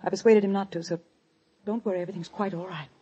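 A scheming, domineering wife with a brittle edge. Her voice is high and swings widely in pitch, full of bitter old-radio melodrama. The sound is dull and lo-fi. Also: lively intonation, normal speed, over music. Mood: uneasy reassurance.

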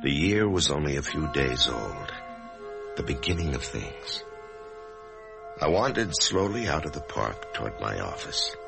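An actor playing an anxious, death-haunted office man in a radio drama, speaking in a halting, emotional way. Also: extremely low pitch, sing-song, creaky, over music, full character voice. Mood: brooding. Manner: halting, emotional, swinging from brooding to agitated. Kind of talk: old-time radio drama acting, first-person narration and dialogue.

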